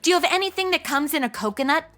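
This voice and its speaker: An actress as a boozy young party girl in a comedy radio drama. Her voice is highish, bright and very animated, delivering blunt sarcasm in modern slang. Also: rapid-fire, soft background music. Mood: snarky. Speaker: lead actor in a comedy audio drama. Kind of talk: comedic audio drama acting.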